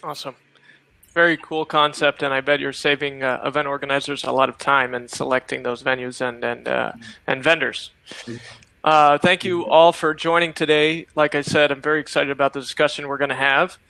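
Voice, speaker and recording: Bright-voiced male panel moderator. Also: mid-range voice, steady tone, brisk, gravelly, smooth, no echo, low-quality recording. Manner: upbeat, steering the talk with questions. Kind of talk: hosting a panel discussion.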